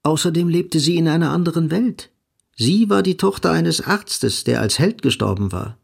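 A man's voice: fairly high pitch, animated, normal speed, vocal fry, slightly rough. A man delivering scripted literary narration for a radio drama.

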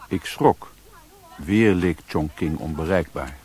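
An actor playing a sombre adventurer who narrates his journey in a radio play, with a husky voice. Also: rumbling low, slow, darkish tone.

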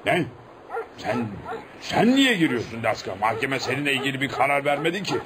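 An actor playing a gruff, chatty prison guard who grumbles colloquially in a radio play. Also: male voice, mid-range voice, sing-song, fairly quick, a crowd in the background, close to an everyday voice. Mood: hesitant, wary. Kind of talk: radio theatre acting.

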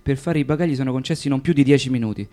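Actor playing male wartime announcer, radio drama, stern, formal, measured, soft dark tone. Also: medium pitch, lightly acted. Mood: cold, official. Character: man reading out a stern wartime round-up order. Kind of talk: dramatised reading in a radio play.

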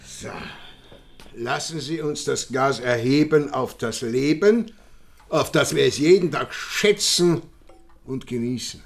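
An actor playing a genial, self-satisfied count with an Austrian accent, courtly, chummy and long-winded in a radio drama. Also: male voice, medium pitch, takes it slowly, gravelly. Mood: jovial. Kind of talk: German-language radio drama acting.

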